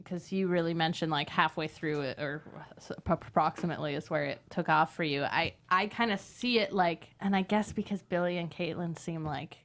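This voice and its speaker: A woman's voice: on the low side, normal speed, few pauses, a bit bright. A woman offering tentative suggestions in a casual workshop discussion. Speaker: panelist giving script feedback.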